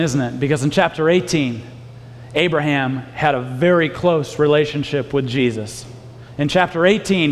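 Joking pastor in a reverberant hall. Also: male voice, on the high side. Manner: joking, self-deprecating, frequent restarts. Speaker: pastor teaching a Bible study. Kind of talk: casual conversational sermon.

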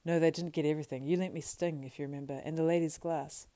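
A woman's voice: sits low, steady tone, quick pace, dark tone, natural voice. A woman voicing a loyal, practical male hobbit servant from a storybook, deferential and homely in manner. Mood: resolute. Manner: deferential, homely rustic phrasing.

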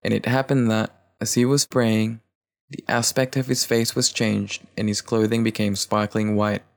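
A man reads scripture aloud in a fairly low voice. There is a clear creak running through it, giving the reading a gravelly edge. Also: flat delivery, normal speed. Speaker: volunteer scripture reader.

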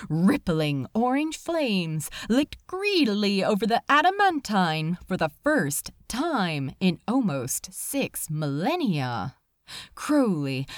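A woman reading a story aloud as a dramatic narrator, with measured, deliberate phrasing and weighted pauses. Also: fairly high pitch, dry recording, studio quality. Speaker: fan fiction reader, narrator. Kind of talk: dramatic scripted audiobook narration.

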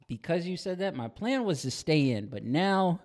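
A man's voice: on the high side, sing-song, moderate pace, darkish tone. Young American man in casual podcast chat. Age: young adult. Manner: slangy, swearing. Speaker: podcast co-host.

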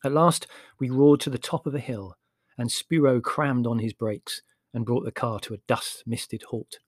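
A man's voice: medium pitch, steady tone, normal speed, dry recording. Male storyteller in a dry studio sound. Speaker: audiobook narrator. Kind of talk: literary storytelling narration.

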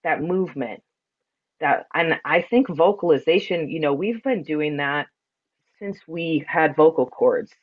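A woman speaks in a deep, resonant voice with plenty of body. She is reflective and emphatic, explaining things earnestly as in a conversational interview. The audio is somewhat dull and lo-fi. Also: lively intonation, unhurried. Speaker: podcast guest, spiritual sound and ceremony practitioner.

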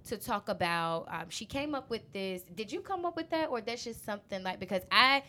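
Casual female podcast host, bright forceful voice, informal and giggly, faint rumbling noise behind her. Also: brisk. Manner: giggly, animated among friends. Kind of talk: casual group chat.

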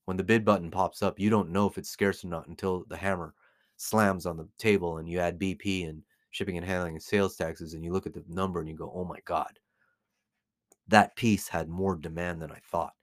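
A man's voice: low voice, fairly even intonation, brisk, no room sound, clean studio sound. A middle-aged man with an American accent giving informal, opinionated commentary as a host, emphatically repeating phrases for stress. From United States. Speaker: podcast host, collectibles dealer.

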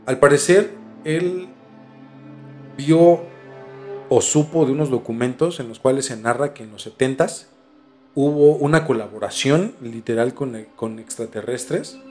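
A Mexican man hosts a casual podcast in a mid-pitched voice, with music playing underneath. He tells things informally and haltingly, often doubling back on his words as he explains. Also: a little mellow. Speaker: podcast host. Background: background music.

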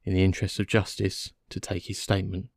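Man voicing a formal, low-voiced, unemotional police inspector. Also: completely flat delivery, fast talker, darkish tone, dry recording, studio quality. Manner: formal, mechanical, official. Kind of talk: audiobook character dialogue.

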